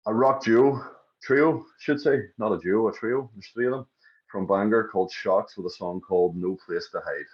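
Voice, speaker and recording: A man chats as a music show host. His manner is informal and bantering, like relaxed radio presenting. The recording sounds dull and lo-fi. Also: fairly low pitch, normal speed. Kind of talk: casual radio-style chat.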